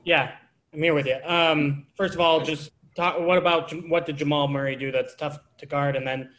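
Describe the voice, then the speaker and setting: Higher-pitched male sports reporter. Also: fairly even intonation, moderate pace, a bit bright. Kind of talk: press conference question.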